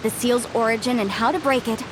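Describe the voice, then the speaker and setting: A woman's voice: a little high, brisk, noisy background, close to an everyday voice. A woman voicing an earnest female historian who speaks in a sharply reproachful way. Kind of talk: game voice acting. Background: game music.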